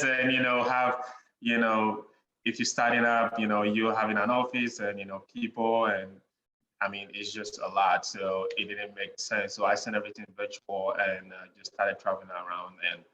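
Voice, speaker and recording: A young man with a Ghanaian accent, a podcast guest chatting in a relaxed way, with many "you know" fillers and frequent chuckles. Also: fairly low pitch. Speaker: guest, real estate investor. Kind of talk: casual interview conversation. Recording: dull lo-fi sound in an echoey room with faint noise.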